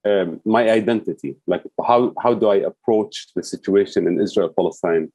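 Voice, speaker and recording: A man answering interview questions as a guest advocate, thoughtful and hesitant, pausing often to find his words. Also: lowish voice, darkish tone, dry recording. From United States. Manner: thoughtful, hesitant, many fillers and restarts.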